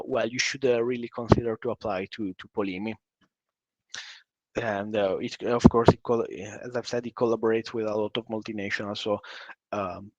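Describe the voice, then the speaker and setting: Man, halting presenter, mid pitch, close dry sound, dull call audio. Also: no echo. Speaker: university representative. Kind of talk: informal live presentation with hesitant, halting delivery.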